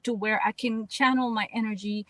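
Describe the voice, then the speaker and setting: A woman's voice: a little high, fairly even intonation, moderate pace, a bit bright, no echo. A woman from the Dominican Republic with a Dominican Spanish accent, Spanish as her first language, speaking earnestly and reflectively as a guest actress in a radio interview, often repeating words while she finds her phrasing. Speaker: guest actress and spoken word artist.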